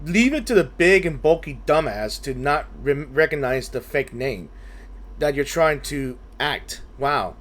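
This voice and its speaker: A man's voice: fairly high pitch, animated, measured pace. A man giving casual playthrough commentary on a game, blunt and teasing, swearing casually. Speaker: gaming streamer.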